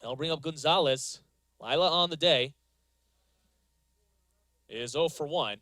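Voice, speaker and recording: A man calling live softball play-by-play as a sports announcer, moving between measured stat recaps and calls of the action. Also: a little high, no echo, studio quality. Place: live broadcast from a softball stadium.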